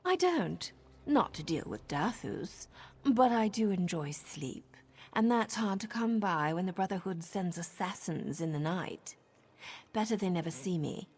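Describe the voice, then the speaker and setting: A woman playing a sly, drily witty assassin in a video game, with a husky voice and a teasing, theatrical manner. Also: fairly low pitch, animated, unhurried, a little mellow, heavily acted. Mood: wry, dry. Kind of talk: video game character dialogue.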